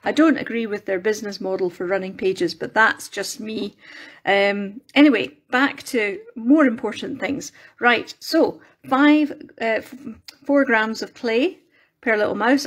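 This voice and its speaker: Chatty Scottish woman, a little croaky. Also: fairly high pitch, measured pace. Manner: chatty, rambling, self-deprecating, many restarts. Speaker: craft live-stream host. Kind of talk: casual live-stream chat while crafting. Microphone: phone.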